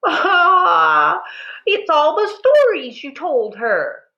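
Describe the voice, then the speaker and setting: A woman voicing an anguished, melodramatic storybook mother in a high, wailing, tearful voice. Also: big pitch swings, very slow, gravelly, sharp tone, dull audio, exaggerated voice. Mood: wailing, despairing. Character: anguished, melodramatic mother, quick to wail and despair.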